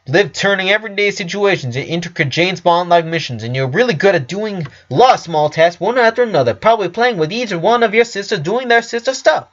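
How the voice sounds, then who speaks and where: Man playing a glib, high-pitched rambling doctor. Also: expressive, quick pace, hardly any pauses, dull audio. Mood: glib, lecturing. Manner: rambling, sarcastic, lecturing. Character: glib, blunt, morbidly curious male doctor.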